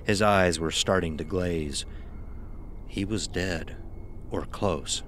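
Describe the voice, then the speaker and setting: A man's voice: sits low, slow pace, fairly bright tone, a little hiss or hum. A man narrating a scripted horror audio drama as its storyteller.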